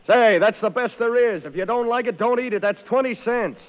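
A man's voice: very high-pitched, fairly quick. An actor playing a brusque, exasperated carnival sandwich-stand man in an old radio comedy. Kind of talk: 1930s radio comedy acting. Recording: muffled old radio recording, hall reverb.

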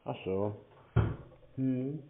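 A man's voice: medium pitch, extremely slow. Dry, unruffled man, phone-like sound. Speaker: scam-baiting call recipient. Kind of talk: casual phone conversation. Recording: narrow, tinny phone-like sound.

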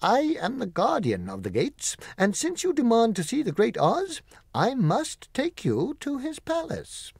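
A storybook gatekeeper, stern and pompous, acted by a man. His voice sits high and swoops dramatically as he delivers grave warnings in a theatrical manner. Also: huge pitch swings, measured pace, gravelly, a little mellow, breathy edge, no room sound, heavily acted. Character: stern, pompous green man guarding city gates.

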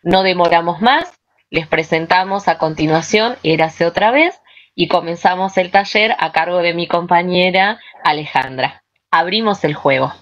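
A woman's voice: fairly low pitch, moderate pace. A woman with an Argentine accent presenting slides as a workshop presenter over a video call. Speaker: workshop presenter, script adapter. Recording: dull lo-fi video-call audio.

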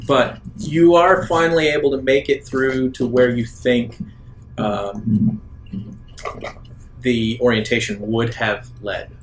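A man acting as game master, improvising narration and fielding players' questions at a tabletop game. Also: medium pitch. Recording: noisy room ambience.

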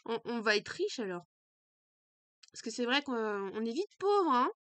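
Young woman gaming streamer, congested nose, high voice, chatty, dry small room. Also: measured pace, dry recording. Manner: casual, chatty, excitable. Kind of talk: let's play commentary.

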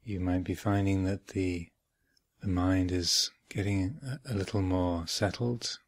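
A low-voiced man leads a guided meditation at a very slow pace. His voice has a gravelly creak, and he offers each short phrase in a measured way, leaving long pauses before the next. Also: fairly even intonation, dry recording. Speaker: meditation teacher. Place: retreat center, close dry recording.

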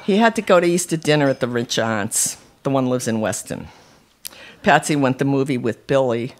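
A man's voice: medium pitch, animated, normal speed, close to an everyday voice. Man reading as a chatty, blunt teenage girl. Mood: chatty, needling.

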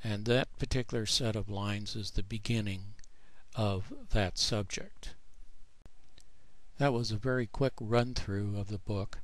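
A man narrating a screen tutorial as the author, explaining plainly in a measured way. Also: on the low side, steady tone, no room sound. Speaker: author presenting his own book.

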